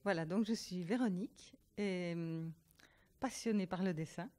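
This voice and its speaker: A woman's voice: lowish voice, moderate pace, a little mellow. A woman, an engraver who shares drawing with children in hospitals, speaking earnestly and with emphasis at a panel. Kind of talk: self-introduction at a panel.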